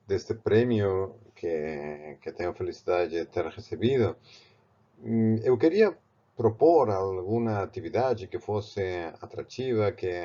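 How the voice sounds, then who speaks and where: Man, Spanish accent, low-pitched, halting art lesson with restarts, dull lo-fi sound. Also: mellow. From Spain, Barcelona. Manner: halting, with frequent restarts and fillers. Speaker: art teacher. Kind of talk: step-by-step art tutorial.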